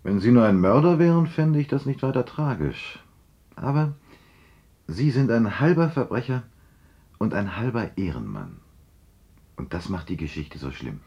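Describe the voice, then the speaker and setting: An actor playing a shrewd, dogged police investigator with a probing, deliberate manner in a radio drama. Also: male voice, on the low side, sing-song, breathy edge, highs cut off, heavily acted. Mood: grave, earnest. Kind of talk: 1970s German radio drama acting.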